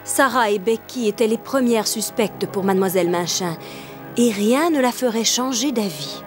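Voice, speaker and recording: A woman playing the storyteller of a children's cartoon, narrating in measured, literary phrases. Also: on the high side, animated, close to an everyday voice. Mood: sombre narration. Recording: loud music under the voice.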